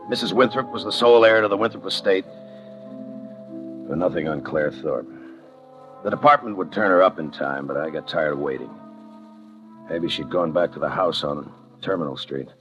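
Tough, weary male homicide cop, played by a radio actor, deep and dry, terse 1950s detective style, muffled vintage sound. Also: close to an everyday voice. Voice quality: hard-boiled, terse. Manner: dry, sardonic delivery. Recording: dull vintage 1950 radio recording. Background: music.

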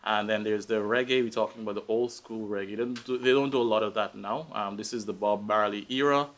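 A man's voice: fairly low pitch, steady tone, quick pace, fairly bright tone. A man with a Guyanese accent talking casually as an interview guest, thinking aloud in a reflective, hesitant way. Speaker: interview guest, tech professional. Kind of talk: casual live conversation.

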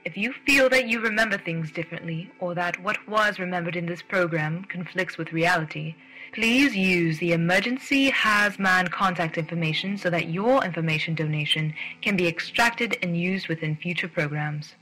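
A man's voice: high voice, normal speed, pushed and bright, low-quality recording. An actor playing an officious government spokesman reading a sinister public-service disclaimer in a radio drama. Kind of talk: scripted public-service advertisement. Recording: music under the voice.

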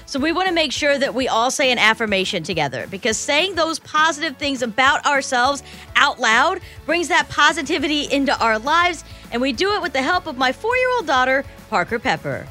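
Female morning radio host, upbeat, bright and sharp tone. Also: sits high, big pitch swings, brisk, soft background music. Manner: bubbly and enthusiastic, cheering callers.

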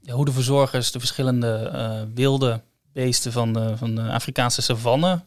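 A man's voice: mid-range voice, steady tone, moderate pace, dry recording. A male co-host talks casually in a podcast conversation. His voice sits in a middle register and is captured very dry, without room sound. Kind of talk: casual chat. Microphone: podcast microphone.

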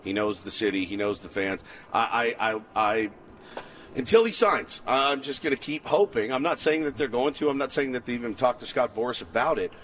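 A man's voice: mid-range voice, fairly quick, fairly bright tone. A man co-hosting casual sports talk, giving opinions emphatically and repeating short phrases for weight. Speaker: sports radio co-host. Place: outdoor patio at a ballpark complex. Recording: clear ambient background noise.

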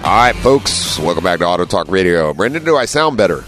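A man with a deep voice hosting a call-in car radio show, talking in a friendly, chatty way with lots of fillers. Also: sing-song, brisk, over music. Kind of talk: casual talk radio hosting. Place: radio studio. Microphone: studio microphone.

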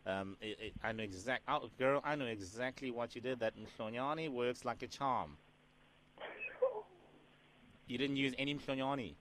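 A man's voice: mid-range voice, a little fast, a bit bright, a little hiss or hum, natural voice. A man playing a sassy, overfamiliar prank caller who keeps calling the listener "girl" and "doll". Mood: sassy teasing. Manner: teasing, calls her "girl" and "doll". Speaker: radio host doing a prank call.

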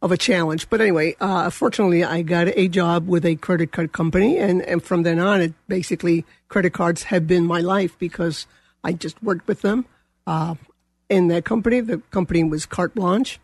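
Gravelly older woman, Colombian accent. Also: fairly low pitch, steady tone, fairly quick, darkish tone, no room sound. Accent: Colombian Spanish. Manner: rambling, many fillers and restarts. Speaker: guest. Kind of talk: casual interview.

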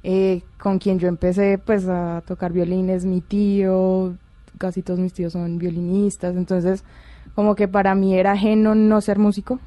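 A woman's voice: mid-range voice, level tone, moderate pace, mellow, dry recording. A woman in her twenties with a Colombian accent, answering interview questions in an informal, hesitant way as a musician guest. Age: 20s. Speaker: orchestral violinist, interview guest. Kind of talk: casual, informal interview talk.

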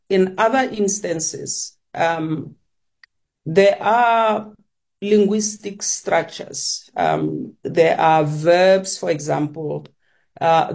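An older woman in her sixties, a Sesotho speaker and linguistics professor, answering slowly as a guest with many pauses and fillers. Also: fairly low pitch, darkish tone. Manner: slow, hesitant, many fillers. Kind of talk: radio interview, phone-in guest.

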